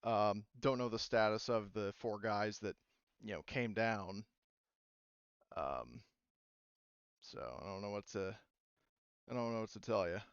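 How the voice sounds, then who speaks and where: Clear-voiced man running a tabletop game. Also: fairly low pitch, measured pace, pauses often, no echo, highs cut off. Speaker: game master. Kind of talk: casual tabletop game narration.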